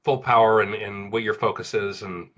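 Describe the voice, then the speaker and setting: Bright-voiced male interviewer, dull audio. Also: on the low side, fairly even intonation, normal speed. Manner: upbeat, hesitant, many fillers. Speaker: interviewer, host. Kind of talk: interview questions.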